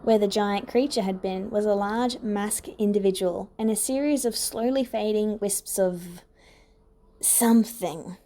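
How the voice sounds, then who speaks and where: A female narrator reads a piece of fiction from a script. Her voice sits at an average pitch, and her storytelling is expressive. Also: unhurried, a little hiss or hum. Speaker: podfic narrator.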